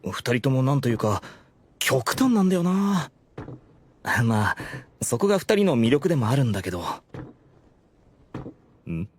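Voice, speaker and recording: A man's voice: mid-range voice, sing-song, normal speed, long pauses, a little hiss or hum, close to an everyday voice. A man playing a male ruler in an anime audio drama, formal and lordly but privately awkward and exasperated. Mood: musing, weary. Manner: formal authority slipping into casual muttering. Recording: music behind the voice.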